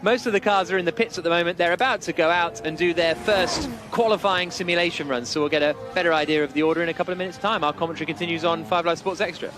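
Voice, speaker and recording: A man's voice: fairly high pitch, quick pace, hardly any pauses. A man working as lead commentator, calling a motorsport session live on radio.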